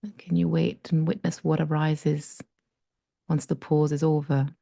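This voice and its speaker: Low, mellow woman guiding a meditation. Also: little pitch movement, creaky, no echo. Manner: slow, soft and gentle, with long pauses. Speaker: meditation guide. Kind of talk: guided breathing meditation.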